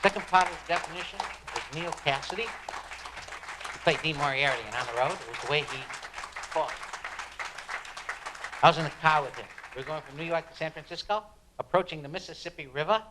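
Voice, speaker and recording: A man's voice: on the high side, brisk, long pauses, a crowd in the background, background noise, close to an everyday voice. A man playing a fervent beat writer, delivering a dramatic, emphatic spoken-word monologue about his craft. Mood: reflective. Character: fervent beat-generation male writer reminiscing and preaching on writing.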